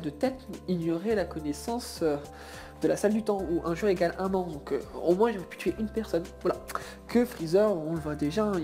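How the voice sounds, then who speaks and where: A woman's voice: on the low side, dark tone. A woman giving a casual, improvised opinion as an anime fan, rambling and emphatic in colloquial language. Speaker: guest debater, anime fan. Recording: background music under voice.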